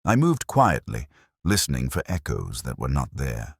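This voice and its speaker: A man reading scripted first-person detective fiction in a measured, contemplative, literary manner, as a narrator. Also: a bass voice, wildly swooping pitch, gravelly, slightly rough, no room sound, clean studio sound.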